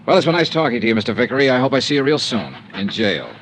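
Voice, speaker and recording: An actor playing a tough, wry insurance investigator in a 1950s radio drama, clipped and probing. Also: male voice, medium pitch, big pitch swings, racing, a bit bright, slight background noise. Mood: dry, defiant. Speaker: lead actor and narrator.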